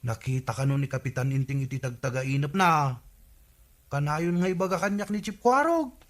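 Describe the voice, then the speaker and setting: An actor playing a skeptical, chiding villager with an Ilocano Filipino accent in a radio comedy drama. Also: male voice, fairly high pitch, normal speed, a bit bright, close to an everyday voice. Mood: gossipy.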